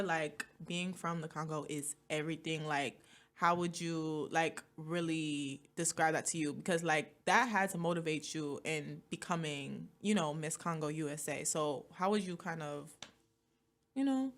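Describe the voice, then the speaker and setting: A young woman hosting a college radio interview, chatty and expressive, using casual slang. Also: low-pitched, unhurried. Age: young adult.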